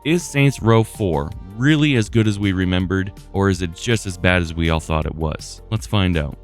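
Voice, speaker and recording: A man's voice: sits low, moderate pace, soft background music. A man hosting a video essay, reading scripted gaming commentary in an informal way, speaking directly to the viewer.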